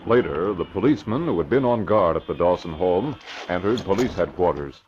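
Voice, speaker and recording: A man narrating an old-time radio drama in a measured, formal storytelling manner. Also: sits low, animated, a little mellow, slight background noise. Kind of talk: scripted radio drama narration, 1940s style. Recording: muffled vintage radio recording.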